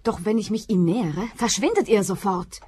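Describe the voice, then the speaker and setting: A woman's voice: mid-range voice, normal speed, warm tone, breathy edge, natural voice. An actress playing a brazen, paranoid woman client in a radio play, insistent and snappy. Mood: anxious, insistent.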